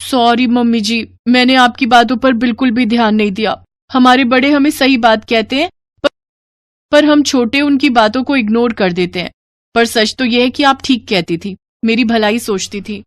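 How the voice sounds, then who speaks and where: A woman's voice: on the high side, fairly even intonation, moderate pace, no echo, studio quality, pitched above the performer's own voice, lightly acted. A woman voicing a headstrong young Indian daughter-in-law in a moral story, emphatic and pleading. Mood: apologetic. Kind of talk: dramatised Hindi moral story.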